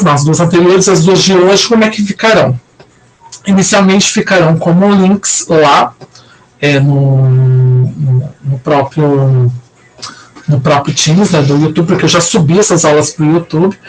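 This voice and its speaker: High-voiced teacher, rambling. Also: male voice, rough recording. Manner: rambling, many fillers and restarts. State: recovering from a cold. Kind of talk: casual online lecture.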